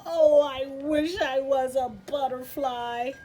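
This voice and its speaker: A woman's voice: high-pitched, fairly even intonation, slow, dark tone, slight background noise, pitched above the performer's own voice. A woman voicing a sad, self-doubting little boy cricket in a plaintive storybook read-aloud. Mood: plaintive, wistful. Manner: plaintive, protesting.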